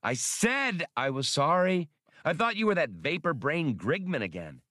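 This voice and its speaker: A man's voice: fairly high pitch, big pitch swings, normal speed, bright, forceful tone, dry recording, studio quality, voice pushed up. A man reading aloud and voicing a brash starship pilot in a raised, brusque voice. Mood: exasperated.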